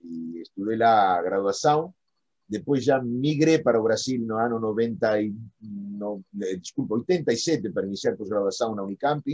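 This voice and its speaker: Middle-aged male academic, native Argentine Spanish accent, dark mellow tone, raspy, halting and self-correcting delivery. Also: on the low side, lively intonation. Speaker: philosophy professor, interview guest. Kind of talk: conversational academic explanation. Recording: dull lo-fi audio.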